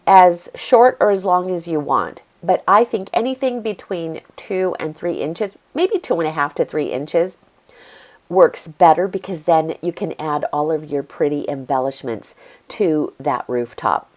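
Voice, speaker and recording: Brief: middle-aged woman, low voice, stuffy-nosed, relaxed craft tutorial host talking while she works. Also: unhurried. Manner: chatty, easygoing, explains as she works. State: congested from allergies. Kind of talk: step-by-step craft tutorial voice-over.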